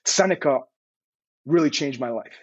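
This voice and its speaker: A man's voice: mid-range voice, normal speed, no echo, muffled recording. A man in his thirties, born in Serbia, telling his story as an interview guest in an earnest way, stumbling and repeating words. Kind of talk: casual interview.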